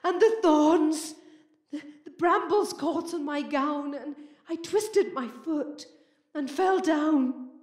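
A woman playing a lost, fretful Victorian lady in a radio play, halting and tender, with breathy gasps and whispers. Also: high-pitched, a little mellow, echoey room. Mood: distressed, halting.

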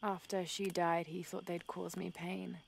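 Hushed, low, creaky young adventurer. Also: female voice, flat delivery, a little slow, slight background noise. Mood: soft, pensive. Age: young adult. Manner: hushed, breathy, reflective. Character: weary, grieving young woman adventurer. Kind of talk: intimate video game cutscene dialogue.

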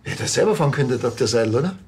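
A man's voice: mid-range voice, animated, very fast, a little mellow, a little hiss or hum, natural voice. An actor playing a wry, laid-back male police officer with a dry joking manner in a TV drama.

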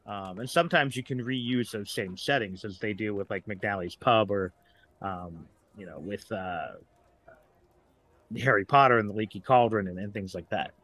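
A middle-aged man talking casually as a podcast guest, a writer who haltingly searches for examples as he goes. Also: fairly low pitch, fairly bright tone.

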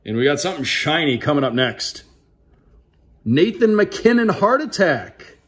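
A man's voice: a little high, very animated, normal speed, a bit bright. A man giving casual, upbeat commentary on an unboxing, reading out names to viewers like a broadcaster. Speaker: radio sports play-by-play broadcaster.

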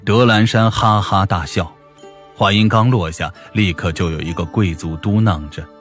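A man's voice: lowish voice, normal speed. A man narrating a scripted audiobook story. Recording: music behind the voice.

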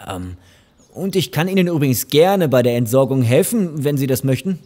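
An actor playing a fussy, overly courteous lawyer in an audio drama, hesitant and repeating himself. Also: male voice, mid-range voice, lively intonation, moderate pace, dark tone, natural voice. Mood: evasive. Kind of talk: German audio drama dialogue.

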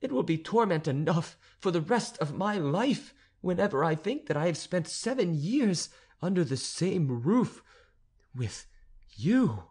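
A man's voice: high-pitched, sing-song, unhurried, darkish tone, breathy edge, voice pushed up, heavily acted. Man voicing a haughty noble countess. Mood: horrified. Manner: haughty, imperious. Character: proud noble countess, stern and indignant. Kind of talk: audiobook character reading.

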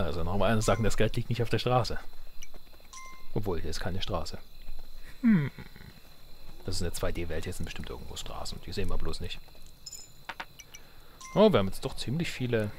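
A man giving casual let's play commentary, thinking aloud in colloquial speech. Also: fairly low pitch. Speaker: let's player.

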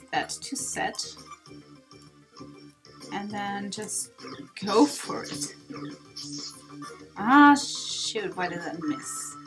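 A woman's voice: high voice, fairly bright tone, rough recording. A woman giving casual let's play commentary on a game, reactive and full of exclamations.

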